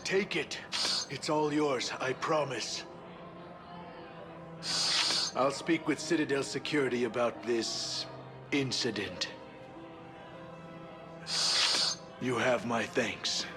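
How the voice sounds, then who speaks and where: A man's voice: medium pitch, unhurried, lightly acted. A man voicing a shifty, cornered alien ambassador in a video game, pleading and evasive in formal phrases. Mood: defeated. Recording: music behind the voice.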